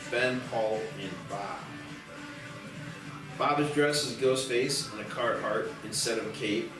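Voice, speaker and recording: Echoey male screenplay narrator with music. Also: medium pitch. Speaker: stage-direction narrator. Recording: very echoey, distant mic, music behind.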